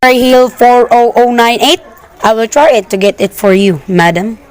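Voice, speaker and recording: A woman's voice: on the high side, normal speed, a little hiss or hum, natural voice, rough recording. A woman playing a polite, unflustered telephone operator in an amateur radio play, formal and procedural. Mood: polite, puzzled.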